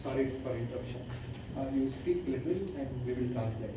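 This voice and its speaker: A man's voice: medium pitch, brisk, mellow. A man with an Indian English accent, hosting the session and offering to translate, politely interjecting. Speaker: event host and translator. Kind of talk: casual moderating. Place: large echoey hall. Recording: echoey hall recording from far off, with noise.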